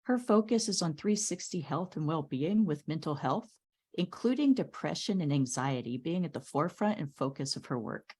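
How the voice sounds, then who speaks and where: A woman hosting a podcast, introducing her guest warmly and enthusiastically. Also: deep voice, lively intonation, normal speed, husky. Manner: warm, admiring, enthusiastic. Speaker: podcast host. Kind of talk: interview host.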